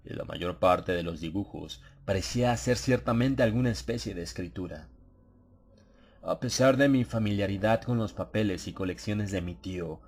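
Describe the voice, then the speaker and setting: A man reading a horror story as an audiobook narrator, in a measured, solemn, dramatized literary delivery. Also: low voice.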